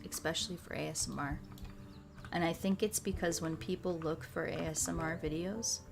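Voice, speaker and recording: An American woman chatting casually on a livestream while she plays a puzzle game, in a playful, slangy, rambling way. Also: lowish voice. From United States. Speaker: streamer. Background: music.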